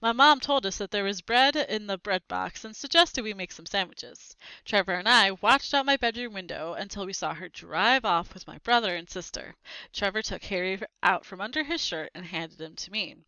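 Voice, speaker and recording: Bright, lively woman reading aloud. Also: mid-range voice, moderate pace, clean tone, no echo, highs cut off. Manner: expressive, with asides to viewers. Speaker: read-aloud host. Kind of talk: reading a children's book aloud.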